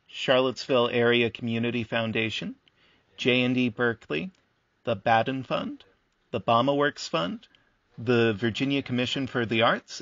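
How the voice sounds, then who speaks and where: Man playing a radio play host, creaky voice, dry sound, measured, understated, sympathetic. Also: mid-range voice, fairly bright tone, dry recording, lo-fi sound. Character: calm, sympathetic man who interviews buildings. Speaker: interviewer, host. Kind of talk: scripted radio play narration and interview.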